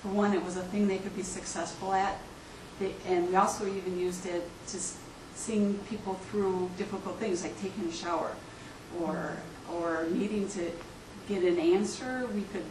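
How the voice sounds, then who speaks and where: A woman's voice: fairly low pitch, fairly even intonation, normal speed. A woman speaking earnestly and reflectively in a casual group discussion. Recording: echoey room from far off, noisy.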